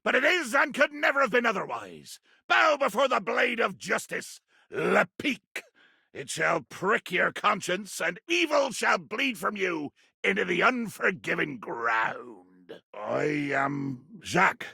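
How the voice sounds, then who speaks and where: A man playing a pompous, belligerent sea captain in a video game, with a raspy voice and a theatrical, grandiloquent delivery. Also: high voice, sing-song, unhurried, pushed and bright, dry recording, studio quality, exaggerated voice. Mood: triumphant. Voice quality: gravelly, raspy.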